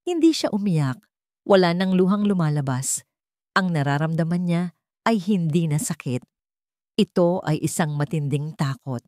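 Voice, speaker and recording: A woman narrates a dramatic story in a slightly low voice. The sound is dry and free of room echo, as in a scripted audiobook. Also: lively intonation, normal speed, warm tone.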